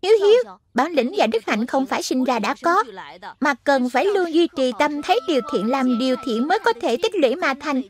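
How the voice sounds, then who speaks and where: A woman's voice: high voice, lively intonation, fairly quick. A woman voicing a small, wise spirit guardian in a children's cartoon, teaching and admonishing like an older brother. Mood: instructive. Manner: instructive, admonishing. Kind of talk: Vietnamese dubbed children's cartoon acting.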